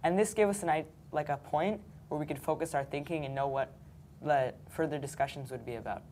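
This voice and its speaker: Low-voiced eighth-grade girl, casual and filler-heavy. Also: fairly quick, mellow, a little hiss or hum. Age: young teen. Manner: casual, uses many "like" and "um" fillers. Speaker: student.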